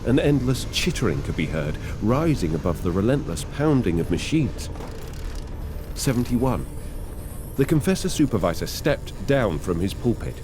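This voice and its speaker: A man performing scripted dramatic narration of a grim science fiction story in a measured, deliberate way. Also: on the low side, very animated, noisy background.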